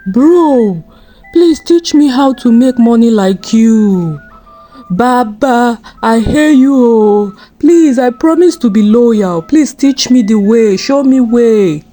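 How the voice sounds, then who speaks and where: A woman's voice: a little high, lively intonation, slow pace, mellow, soft background music, pitched above the performer's own voice. A woman voicing an eager, naive young man in a folktale, exclaiming and pleading.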